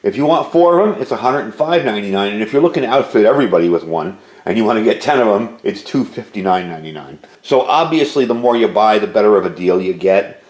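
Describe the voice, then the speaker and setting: A man's voice: lowish voice, lively intonation, quick pace, few pauses, a little mellow. A man giving a casual, informal product review, explaining details as he goes, recorded indoors at home. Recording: roomy indoor echo.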